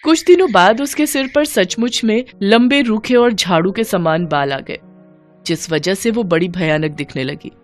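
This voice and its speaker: A woman's voice: medium pitch, expressive, normal speed. A woman narrating a scripted children's bedtime story as storyteller, with music behind her.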